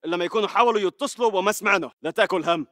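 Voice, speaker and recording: مسلح شامي قلق وسريع الكلام. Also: male voice, high voice, racing, sharp tone, dry recording, studio quality. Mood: uneasy. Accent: Levantine Arabic. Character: uneasy male militant checking on comrades. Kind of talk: video game combat chatter.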